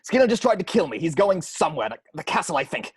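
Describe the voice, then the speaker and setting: A man's voice: on the high side, big pitch swings, quick pace, breathy edge, heavily acted. A man reading the part of an earnest, driven police sergeant in a table read over a video call. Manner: earnest, clipped, urgent.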